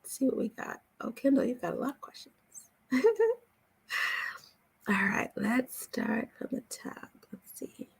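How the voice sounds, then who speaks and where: A woman's voice: fairly high pitch, a little slow, creaky, pauses often, husky, low-quality recording. Upbeat woman hosting a casual livestream. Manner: checks in often with "okay?" and "all right?". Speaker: voice-over coach hosting a live Q&A. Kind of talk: casual livestream talk with promotion.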